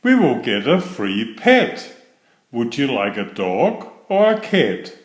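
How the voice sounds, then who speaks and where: A man voicing a cheerful, literal-minded housekeeper woman in a children's storybook, in a bright, wondering, sing-song way. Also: mid-range voice, wildly swooping pitch, slow pace. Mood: chirpy. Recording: roomy echo.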